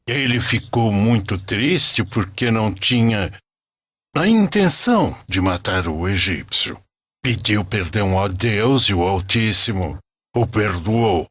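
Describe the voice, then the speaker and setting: Animated storybook narrator: male actor as devout elderly grandfather, patient, lowish, slow, very expressive intonation. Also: husky, no echo, heavily acted. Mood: solemn storytelling. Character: devout, patient old grandfather telling sacred stories.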